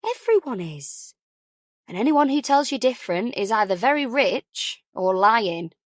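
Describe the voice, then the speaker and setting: A woman voicing a caring older mother in an audiobook, reassuring, deliberately upbeat and gently persistent. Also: a little high, big pitch swings, no room sound, clean studio sound. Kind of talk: audiobook character dialogue.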